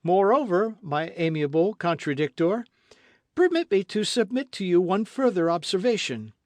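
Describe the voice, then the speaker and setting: A bold, playful adventurer, put on by a male audiobook reader speaks in a high, loud, sing-song voice. He sounds supremely confident, almost teasing. The sound is dry and close. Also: lively intonation, moderate pace, no echo. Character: bold, witty adult Frenchman adventurer. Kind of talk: audiobook character reading.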